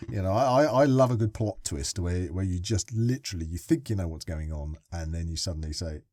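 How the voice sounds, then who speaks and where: An older man co-hosting a podcast, chatting and teasing his guest in a lively way. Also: sits low, fairly quick, dry recording, studio quality. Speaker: podcast co-host. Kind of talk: casual interview chat.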